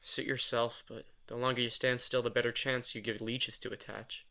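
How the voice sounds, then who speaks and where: A man's voice: mid-range voice, flat delivery, moderate pace, vocal fry, sharp tone. An actor playing a teasing young man, wry and playful in an audio drama. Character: teasing young man, a vampire's companion Milo.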